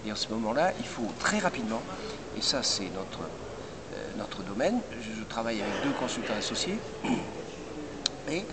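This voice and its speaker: An older man heading a recruitment firm, answering interview questions in a measured way with many hesitations and restarts. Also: mid-range voice, sing-song. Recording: loud background noise.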